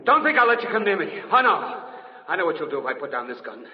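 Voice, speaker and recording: Frantic gunman melodrama, pitch all over the place, echoey hall, muffled old radio. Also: sing-song, fast, heavy background noise. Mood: frantic, menacing. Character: panicky, overwrought gunholder holding someone at bay.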